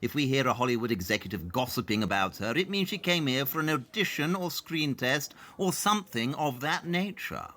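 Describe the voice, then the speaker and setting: A snooty impatient detective man, bright forceful voice, really swoopy pompous delivery, audio play. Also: medium pitch, expressive, a little fast, few pauses. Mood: brisk, intent. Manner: pompous, precise, theatrical diction. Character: brusque, haughty, impatient male consulting detective.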